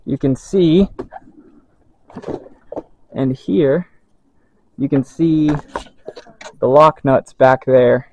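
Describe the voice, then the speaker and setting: Calm guy walking through the install slowly, plain mid voice. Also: mid-range voice, slight background noise, rough recording. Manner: calm, methodical. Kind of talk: step-by-step explanation.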